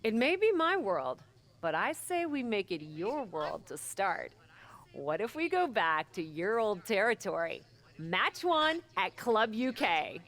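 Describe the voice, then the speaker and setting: An actress playing a bold, assertive professional wrestler in a video game cutscene, speaking in a deliberate, emphatic way. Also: female voice, mid-range voice, sing-song, fairly bright tone. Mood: bold, challenging.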